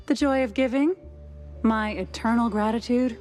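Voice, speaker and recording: A woman's voice: on the high side, normal speed, a little mellow, soft background music, lightly acted. A woman playing a sly, coaxing cartoon mouse newswoman in a 1930s noir game, arch and teasing.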